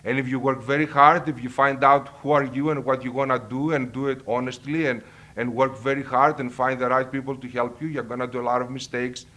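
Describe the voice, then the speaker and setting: A middle-aged man with a Greek accent, a professor talking about growing up and how to succeed. He speaks earnestly, sometimes stopping to restart or repeat a word. His voice sits at an ordinary middle pitch. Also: fairly even intonation, fairly quick, a bit bright. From Greece. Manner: earnest, with repetitions and hesitations. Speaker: marketing professor. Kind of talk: personal life story and advice to camera.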